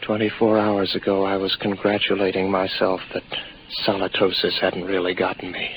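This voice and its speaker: A man's voice: sits low, monotone, moderate pace, natural voice. An actor playing a lonely, weary spaceman with a detached, wry, hesitant musing manner, in a 1950s radio drama. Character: weary lonely spaceman stationed on Pluto, doubting his senses. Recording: noisy muffled 1950s radio recording.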